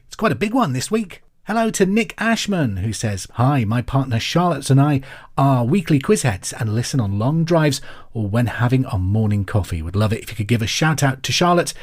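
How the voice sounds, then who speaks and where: Male quizmaster, English accent, mid-pitched voice, conversational and cheery podcast host delivery. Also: a little mellow. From England. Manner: upbeat, friendly, conversational. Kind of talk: chatty podcast presenting, reading out listener messages.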